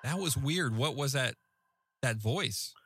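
Animated man with bright voice. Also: medium pitch, takes it slowly, a little hiss or hum. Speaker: podcast co-host. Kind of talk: casual chat, telling anecdotes.

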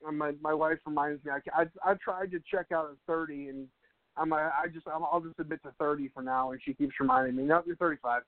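A man's voice: fairly high pitch, steady tone, fast talker, no echo. A man in his mid-thirties talking casually on a radio show, often restarting his sentences and laughing easily. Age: 30s. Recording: dry, tinny phone-like line.

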